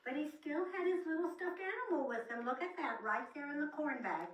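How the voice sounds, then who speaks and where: A woman's voice: high-pitched, expressive, brisk. A woman in her seventies reading a children's picture book aloud indoors, some distance from the camera. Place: indoor room with plain wall. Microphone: camera a few feet away. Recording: echoey room, distant microphone.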